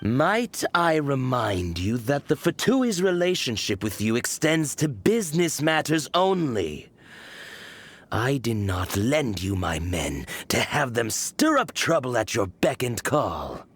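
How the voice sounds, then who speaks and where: An actor playing a cold, haughty commander in a video game, formal, condescending and measured. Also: male voice, medium pitch, big pitch swings, slight background noise. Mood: scornful. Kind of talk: video game character acting.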